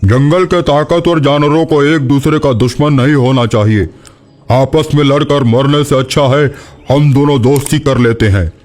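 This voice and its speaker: A man's voice: medium pitch, moderate pace, a little mellow, close to an everyday voice. A man voicing an earnest, reasonable elephant in a children's cartoon. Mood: reproachful, conciliatory. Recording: music behind the voice.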